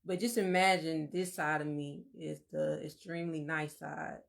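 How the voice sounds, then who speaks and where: A soft-spoken woman, co-hosting a casual live-stream chat and telling her story in a meandering, reflective way. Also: deep voice, steady tone, moderate pace, creaky.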